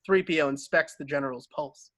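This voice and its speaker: A man with a slightly high voice narrates a screenplay. He reads scene headings and action lines in a scripted table-read style. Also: brisk, fairly bright tone. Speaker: narrator reading stage directions.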